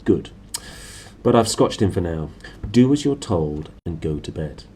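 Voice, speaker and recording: A man's voice: low voice, very animated, measured pace, mellow, slight background noise, heavily acted. A man voicing a curt, secretive lord and uncle in a storybook, giving terse orders. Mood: dismissive.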